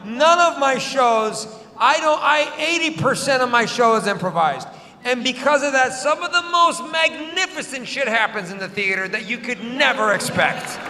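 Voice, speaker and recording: High-voiced man doing stand-up crowd work. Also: expressive, moderate pace, slight background noise. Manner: bantering, teasing audience members, bursts into shouts. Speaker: stand-up comedian. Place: large theater with live audience. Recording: echoey theater hall, loud crowd.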